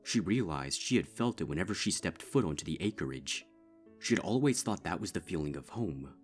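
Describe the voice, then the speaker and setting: Very deep-voiced male narrator. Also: animated, soft background music. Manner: measured, grave storytelling. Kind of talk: dark fanfic audiobook narration.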